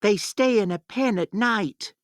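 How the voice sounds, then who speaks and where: Woman as a friendly, unhurried young shepherd boy. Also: mid-range voice, dry recording, studio quality, close to an everyday voice. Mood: matter-of-fact.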